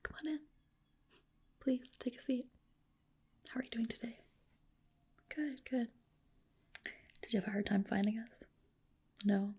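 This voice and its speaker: A woman whispering softly in an ASMR roleplay as a clinic doctor, gentle, polite and reassuring, with a breathy delivery. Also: on the high side, measured pace, long pauses. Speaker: ASMR host roleplaying a dermatologist. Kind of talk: soft whispered ASMR personal-attention roleplay.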